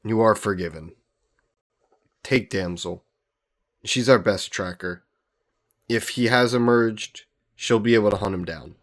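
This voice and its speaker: A man acts out an ancient vampire nobleman with a low voice. He is courtly and protective, with a gently teasing streak, and phrases everything formally, as in a romantic audio drama. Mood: stern, commanding. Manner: formal, genteel, measured phrasing. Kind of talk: scripted romantic audio roleplay.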